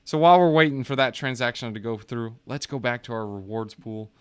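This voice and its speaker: A man's voice: medium pitch, a little fast, clear, resonant tone. A man casually walking viewers through a screen share, joking and chatty, calling them guys. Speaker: crypto YouTuber.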